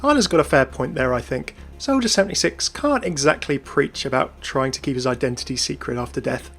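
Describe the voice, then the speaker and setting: A man's voice: medium pitch, animated, fairly quick, a bit bright, soft background music. A man presenting scripted video game lore commentary in a chatty, enthusiastic manner.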